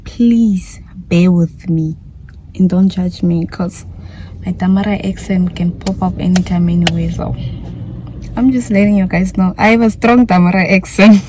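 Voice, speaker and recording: A woman's voice: on the low side, steady tone, a little slow, warm tone, rough recording. A young woman from Namibia with a Namibian English accent, chatting casually in a vlog Q&A and repeating herself often. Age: young adult. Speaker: YouTuber.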